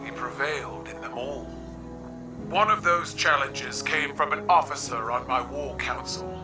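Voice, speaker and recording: An actor with a man's voice playing a regal alien war leader in a video game, formal, proud and deliberate. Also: mid-range voice, close to an everyday voice. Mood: proud formality. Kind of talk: dramatic video game acting. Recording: distant voice under clear music. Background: music.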